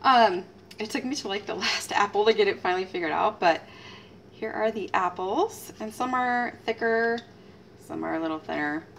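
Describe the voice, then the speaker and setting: A woman's voice: mid-range voice, normal speed, gravelly. Chatty woman cooking in her kitchen. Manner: chatty, self-teasing, lively exclamations. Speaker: home cooking channel host. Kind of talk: casual cooking demonstration. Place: home kitchen, indoors. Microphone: fixed camera mic at a distance. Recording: distant camera mic with faint room noise.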